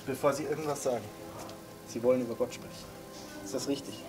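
A man's voice: mid-range voice, steady tone, fast, loud music behind the voice, close to an everyday voice. A man playing a smug male stage mentalist with slick, showy patter.

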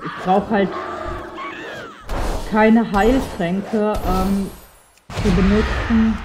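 A woman's voice: mid-range voice, noisy background. A young woman with a slight cold chatting casually to her viewers while playing a game, rambling with lots of fillers. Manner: addresses viewers directly. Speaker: let's play streamer. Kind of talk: casual gameplay commentary.

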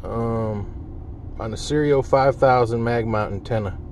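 A man's voice: mid-range voice, slow pace, a little mellow. A man giving a casual hands-on demonstration in a folksy, plain-spoken way, recorded on a handheld device inside a vehicle. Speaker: vlogger demonstrating CB radio gear. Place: inside a parked vehicle cab. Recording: handheld recording with car cabin noise.

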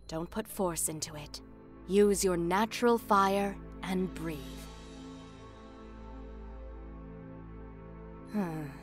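A woman's voice: mid-range voice, lightly acted. Woman playing a stern, composed female martial instructor. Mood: patient, stern. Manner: measured, authoritative, instructive. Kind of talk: fan visual novel voice acting.

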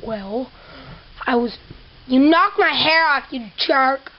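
A woman's voice: high voice, expressive, slow pace, heavily acted. A young woman playing a goofy, dim male penguin witness in a home plush toy show, rambling cheerfully through his story. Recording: dull lo-fi home camera audio.

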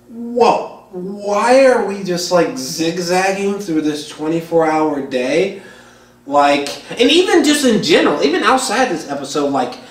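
Man in his twenties, higher-pitched voice, very animated delivery, casual profane reviewer. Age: 20s. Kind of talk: casual reaction review to camera. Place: small home kitchen, indoors. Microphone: camera a few feet away. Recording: echoey kitchen, distant camera mic.

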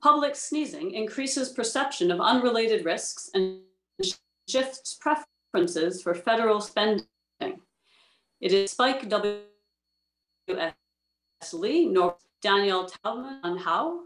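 A woman's voice: mid-range voice, expressive, slow pace, a bit bright. A woman speaking formally as a reader of research papers, on roomy video-call audio. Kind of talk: formal reading aloud and panel questions. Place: indoor room on a video call, using a tablet.

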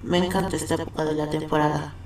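A woman voicing a sly figure who relishes chaos, quiet and savoring, in a Latin American Spanish comic fandub. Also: low voice, level tone, quick pace, heavy reverb, recorded from a distance, slight background noise, pitched below the performer's own voice, lightly acted. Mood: gleeful relish.

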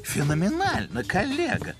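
A man voicing an erudite, excitable owl in an educational cartoon, with a formal, pompous lecturing manner. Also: very high voice, normal speed, heavily acted. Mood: excited, lecturing. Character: erudite excitable male owl, grown-up explainer. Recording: music under the voice.

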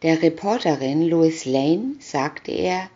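A woman reading a scripted children's comic story aloud, deliberately, with pauses between captions. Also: deep voice, animated, mellow, a little hiss or hum. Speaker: reader of picture-story booklets. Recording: dull lo-fi recording.

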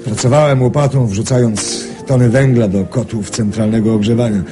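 An actor playing a jovial, boastful self-made Polish emigrant in a radio drama, hearty and expansive. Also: male voice, mid-range voice, steady tone, normal speed, over music, lightly acted. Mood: boastful, reflective. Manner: hearty, chuckling, hesitant fillers, expansive.

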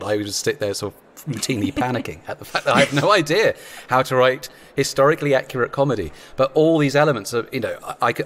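A man's voice: mid-range voice, fairly bright tone. A talkative man chatting as an interview guest, self-deprecating, recorded with reverberant room sound. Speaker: comedy writer, podcast guest. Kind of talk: casual interview chat.

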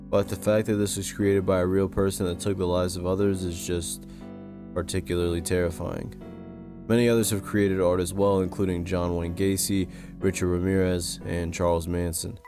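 A man with a low-pitched voice narrates a scripted video essay. His delivery is measured and serious. Music plays faintly behind him. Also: level tone. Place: close-recorded voice-over with music behind.

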